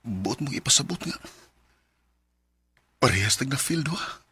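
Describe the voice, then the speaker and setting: A man with a Cebuano Filipino accent playing an earnest, devoted suitor in a radio drama, with a husky voice. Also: mid-range voice, expressive, moderate pace, pushed and bright. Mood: hopeful, moved. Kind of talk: Philippine radio drama acting. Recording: music bed under the voice.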